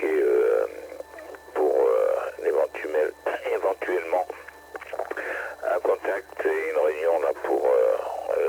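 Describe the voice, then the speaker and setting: A man, a ham radio operator, giving a brisk matter-of-fact update with fillers. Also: a bass voice, creaky, mellow, a little hiss or hum, low-quality recording. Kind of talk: casual on-air radio chat.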